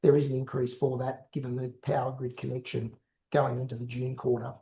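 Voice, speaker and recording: A male company executive answering questions on an investor call, explaining in a halting, measured way with repeated words. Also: medium pitch, monotone, vocal fry, dark tone.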